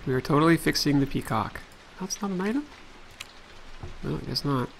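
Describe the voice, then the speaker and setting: A man's voice: a little high, animated, fairly quick, creaky, a little hiss or hum. A man hosting a let's play, chatting casually and thinking aloud as he plays. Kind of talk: casual gameplay commentary.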